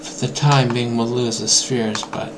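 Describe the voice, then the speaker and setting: A hoarse man thinking aloud while he programs, muttering to himself and drifting into mock-grand asides. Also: mid-range voice, fairly even intonation, unhurried. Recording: clear background noise.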